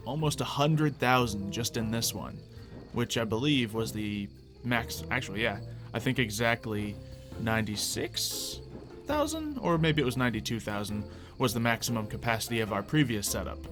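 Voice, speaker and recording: A man hosting a gaming video, giving casual commentary as he thinks out loud and explains what he is doing in a conversational way. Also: fairly low pitch. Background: background music.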